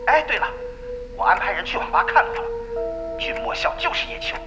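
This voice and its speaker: An actor playing a nervous, fawning male underling in an audio drama, hurriedly agreeing and flattering his boss. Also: very high voice, fairly quick, loud music behind the voice. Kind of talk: Chinese audio drama acting.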